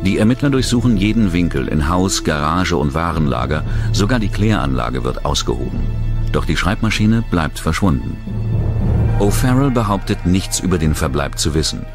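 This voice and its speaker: Male narrator with a very deep voice for a true-crime documentary, reading over loud music. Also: lively intonation, moderate pace.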